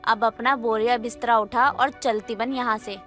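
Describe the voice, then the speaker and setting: A woman's voice: fairly high pitch, steady tone, moderate pace, a bit bright, close to an everyday voice. A woman voicing an exasperated, scolding middle-aged mother-in-law in a moral story cartoon, complaining and nagging. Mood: angry. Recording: music under the voice.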